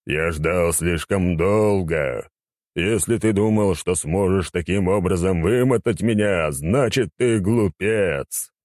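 A man voicing a weary, pompous undead necromancer in an audiobook, with drawn-out, haughty grumbling. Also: low-pitched, darkish tone, no room sound, clean studio sound.

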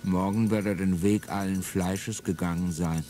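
A man's voice: low voice, steady tone, normal speed, background noise, natural voice. An actor playing an embittered male revolutionary in a classic radio drama, brooding and swelling into bitter outbursts, with music behind him.

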